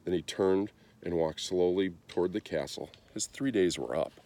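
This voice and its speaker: A man reading a children's story aloud in an expressive storytelling style. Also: low-pitched, normal speed. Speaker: storybook reader.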